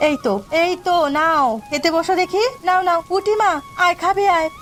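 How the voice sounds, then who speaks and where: Woman acting a high-voiced, sharp-tongued village wife. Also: fairly quick. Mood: doting. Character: spiteful, grasping village wife and doting mother. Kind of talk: Bengali cartoon story voice acting.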